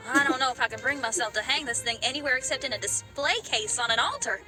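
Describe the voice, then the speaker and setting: A woman playing a spirited, warm young female rocker in a video game, with hearty, folksy, expressive delivery. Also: sits high, fast. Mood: surprised, touched. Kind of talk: animated video game voice acting. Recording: loud music behind the voice. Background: game music.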